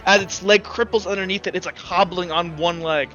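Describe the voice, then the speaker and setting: A man's voice: high-pitched, steady tone, brisk, sharp tone. A young man acting as game master, narrating a tabletop game in loose, rambling sentences full of fillers. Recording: slightly muffled, dull audio. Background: faint background music.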